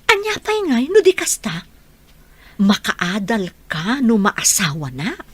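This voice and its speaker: A woman's voice: mid-range voice, huge pitch swings, slow, a bit bright, breathy edge, dry recording, full character voice. A woman with an Ilocano accent playing a brash, scheming, money-minded mother in a radio drama. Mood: scheming, insistent. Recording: background music under the voice.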